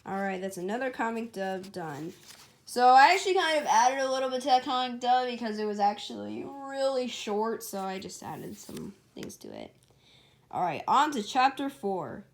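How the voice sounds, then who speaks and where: A woman with a fairly high voice tells a story in an amateur, informal style. She corrects herself as she reads and drops in casual asides. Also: very animated, normal speed. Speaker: storyteller and writer.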